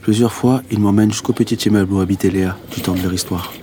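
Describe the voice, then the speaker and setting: A man's voice: lowish voice, steady tone, fast, a crowd in the background, lightly acted. A male actor playing a tender, resigned young narrator, telling his story in an understated, intimate first-person radio drama style. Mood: reflective, tender. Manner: reflective, understated. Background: music.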